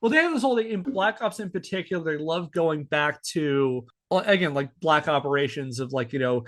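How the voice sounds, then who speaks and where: A man hosting a podcast, telling a researched story to friends in a partly scripted, measured way. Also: fairly high pitch, a bit bright. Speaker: podcast host.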